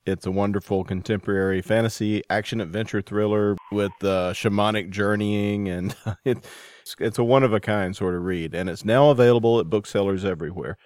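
A man's voice: lowish voice, fairly even intonation, moderate pace, a little mellow, clean tone, no echo, clean studio sound. A man hosting a show and reading scripted introductions. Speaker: show host. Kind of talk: radio-style hosting and scripted announcements.

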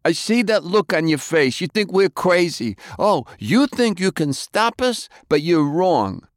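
A man's voice: high voice, sing-song, measured pace, no echo, studio quality, voice pushed up. A man voicing a fanatical cult follower in an audiobook, preaching defiantly and taunting like a zealous thug. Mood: fervent zeal. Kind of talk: audiobook character acting.

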